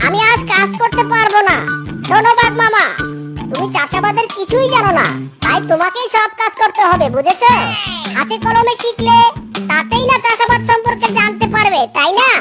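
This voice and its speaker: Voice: extremely high pitch, normal speed. An actor voicing a sly, greedy, lazy male cartoon fox, with an exclamatory, cajoling manner. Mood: bossy, cajoling. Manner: exclamatory, cajoling, catchphrase-laden. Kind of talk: exaggerated children's cartoon acting. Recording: music behind the voice.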